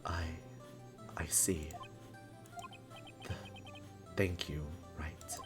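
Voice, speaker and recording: A streamer acting out a low, halting, stiff prosecutor man. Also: faint music behind. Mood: awkward, subdued. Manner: halting, stammering, formal. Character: stiff, awkward reserved male prosecutor. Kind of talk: visual novel character dub.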